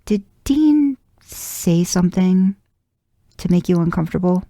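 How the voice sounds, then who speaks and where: A woman voicing an earnest, considerate, soft-spoken man who gently probes and chides in an audio story. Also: lowish voice, animated, unhurried, no echo, clean studio sound, voice pushed up. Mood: concerned, probing.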